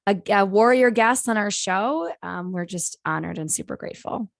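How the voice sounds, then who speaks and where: A woman's voice: mid-range voice, expressive, moderate pace, vocal fry, no echo, studio quality. A woman co-hosting a podcast, effusive and encouraging as she guides the guest. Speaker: podcast co-host. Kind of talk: casual interview hosting.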